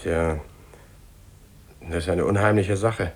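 Deep-voiced, uneasy male cousin. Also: fairly even intonation, slow. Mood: uneasy, grave. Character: uneasy male cousin telling an eerie family tale. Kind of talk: German radio play acting.

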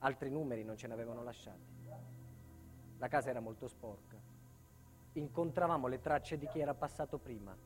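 A man's voice: medium pitch, a little mellow, faint music behind, lightly acted. A male actor playing an embittered protected witness recounting a life in hiding, in a solemn, intense theatre monologue. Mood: somber, haunted. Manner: solemn, intense, deliberate phrasing. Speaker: stage actor and narrator. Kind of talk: dramatic first-person theatre monologue.

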